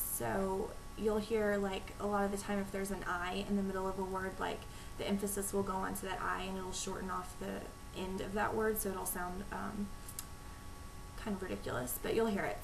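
A young woman with a North Texas accent chats casually to her webcam in a small room at home, often pausing on "um". Her voice has a gravelly, creaky edge. The quiet webcam recording carries a clear steady hiss. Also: mid-range voice. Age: young adult. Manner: chatty, frequent ums. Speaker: vlogger. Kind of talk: casual talk to camera, reading a word list. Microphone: webcam microphone, low volume.

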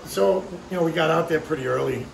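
A middle-aged man, a fishing tournament winner, talking haltingly in a casual interview in a tackle shop. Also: fairly high pitch, gravelly, distant mic. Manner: halting, lots of fillers. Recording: roomy, off-mic, noisy.

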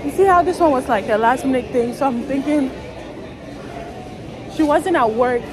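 A woman in her thirties chatting excitedly to her phone camera while walking through city streets and a busy train station. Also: high-pitched, moderate pace. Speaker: vlogger. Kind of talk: casual walking vlog. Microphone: handheld phone held close. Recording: noisy street and station crowd.

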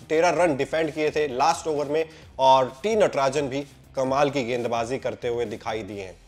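Young man presenting a cricket pitch report. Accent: Hindi. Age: young adult. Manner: brisk, emphatic delivery.

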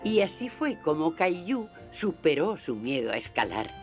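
A gentle woman storyteller narrates for small children in Castilian Spanish. Her voice is very low for a woman, with lively, expressive intonation. Music plays clearly underneath. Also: moderate pace. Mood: gentle, pleased.

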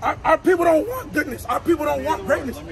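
Brief: high-pitched male street preacher, forceful and repetitive, crowd noise behind. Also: animated, quick pace. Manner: forceful, repetitive, stammering restarts. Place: city sidewalk at night, outdoors.

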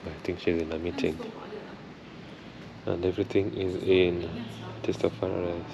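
A young man chatting slowly and thoughtfully as he films a walking travel vlog, first outdoors at a rainy harbor, then inside a concert hall. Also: deep voice, level tone, gravelly, lo-fi sound, a crowd in the background. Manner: slow, thoughtful, chatty, says he struggles with R and L. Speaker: travel vlogger. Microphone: camera held close at chest height. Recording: street noise behind the voice.

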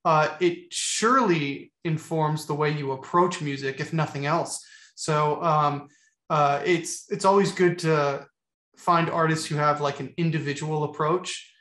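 A man's voice: fairly high pitch, steady tone, measured pace, fairly bright tone, reverberant. A man in his thirties, a music teacher, interviewing a guest on a video call in a friendly, encouraging, conversational way. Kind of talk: casual interview. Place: home room with guitars, video call.

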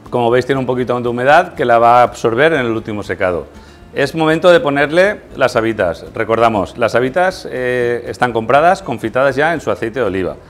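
A man's voice: mid-range voice, animated, brisk, faint music behind. A middle-aged man with an accent from Spain, explaining a cooking demonstration informally and instructively as a guest chef. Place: indoors in a tiled kitchen set.

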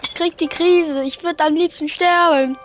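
A woman streaming a video game with friends, excitable, reading chat aloud and laughing often. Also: very high voice, moderate pace, very noisy. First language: German. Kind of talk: casual live-stream gaming chat.

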